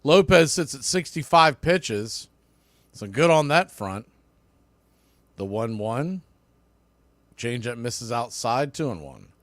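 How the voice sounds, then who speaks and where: A man's voice: medium pitch, expressive, slow pace, long pauses, no room sound. A man doing live baseball play-by-play in clipped short phrases, with casual asides.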